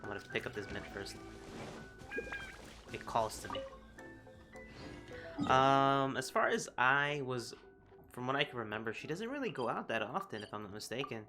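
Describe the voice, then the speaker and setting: A male streamer chats through a game in an offhand, wry way. His voice sits at a medium pitch, with clear game music playing behind him. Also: sing-song, moderate pace, long pauses. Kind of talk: casual playthrough commentary, reading dialogue along.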